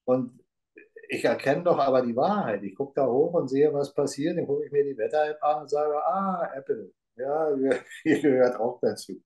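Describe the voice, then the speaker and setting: A man's voice: medium pitch, moderate pace, a little mellow, rough recording. A middle-aged man talks on a video call, sitting before a green grass backdrop. He speaks emphatically and rambles, stacking up rhetorical questions. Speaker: talk show co-host. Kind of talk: casual conversation. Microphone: video call webcam.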